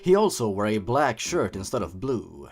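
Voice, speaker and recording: A man's voice: fairly low pitch, very animated, normal speed. A man reading a story aloud and breaking in with sarcastic, mocking commentary as the host. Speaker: host reading and commenting on online horror stories.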